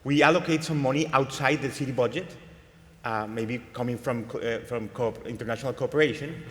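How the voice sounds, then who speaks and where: A man's voice: medium pitch, brisk. Colombian man on a panel. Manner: earnest, repeats words while searching. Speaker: panelist, innovation lab director. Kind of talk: conference panel talk. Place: large conference stage. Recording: long hall reverb.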